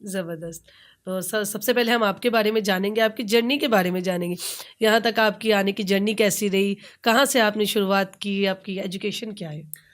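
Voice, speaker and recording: A woman's voice: mid-range voice, fairly even intonation, normal speed. A woman with a Pakistani accent interviewing as a podcast host, attentive and conversational, with brief acknowledgements.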